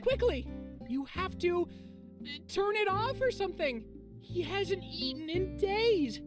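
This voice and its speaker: A woman's voice: very high-pitched, lively intonation, slow, heavily acted. A woman voicing an earnest, meek, anxious small-town do-gooder in a video game. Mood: worried. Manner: earnest, apologetic. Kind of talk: video game character acting. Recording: music behind the voice.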